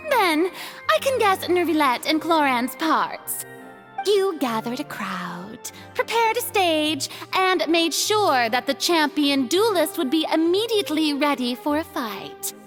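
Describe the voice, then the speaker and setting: A woman's voice: high-pitched, sing-song, slow, fairly bright tone, soft background music, exaggerated voice. A woman playing a proud, theatrical young female archon in a video game, pompous and grand yet shaken underneath. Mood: haughty, knowing. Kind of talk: dramatic video game voice acting.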